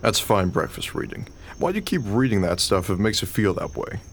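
A man's voice: fairly low pitch, big pitch swings, a little fast. A man playing a weary, irritable young schoolteacher husband in a radio drama, grumbling and exasperated. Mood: incredulous. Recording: faint background noise.

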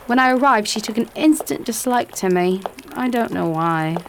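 A frightened young woman stranded far from home, anxious and pleading, as a young actress plays her. Her voice sits a little high, with a faint hiss behind it. Radio play acting. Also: expressive, moderate pace. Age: young adult. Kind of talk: amateur radio drama acting.

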